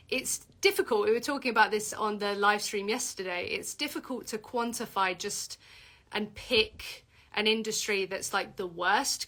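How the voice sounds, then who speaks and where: A woman hosting a casual livestream Q&A, reading out viewer comments and answering with many fillers and restarts. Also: pushed and bright. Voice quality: deep voice for a woman.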